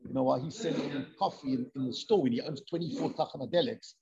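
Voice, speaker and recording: A man teaching an informal Torah discussion, excitable, repeating himself and mixing in Hebrew and Yiddish terms, on a dull lo-fi recording. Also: mid-range voice, quick pace, a crowd in the background.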